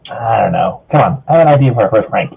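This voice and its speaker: A man's voice: medium pitch, gravelly, breathy edge. A man narrating a fan fiction story aloud, casually breaking off to banter with his co-reader. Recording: thin phone-like voice chat sound.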